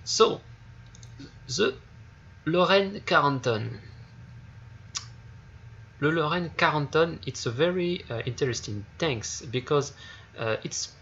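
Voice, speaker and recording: French-accented man, halting gaming commentary. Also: on the high side, animated, a bit bright, lo-fi sound. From France. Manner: halting, searching for words, many fillers and repeated starts. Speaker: gaming YouTuber. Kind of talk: casual game review commentary.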